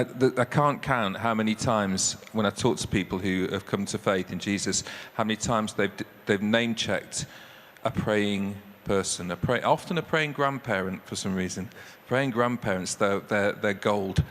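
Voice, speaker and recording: A man's voice: on the low side, normal speed, a bit bright, a little hiss or hum. A man hosting a church event interviews a guest, stumbling and restarting his sentences. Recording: echoey hall with faint ambience.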